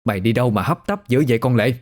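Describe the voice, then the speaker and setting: A man's voice: medium pitch, animated, quick pace, close to an everyday voice. A man voicing a furious, wailing old village mother with a Southern Vietnamese accent in an audio story.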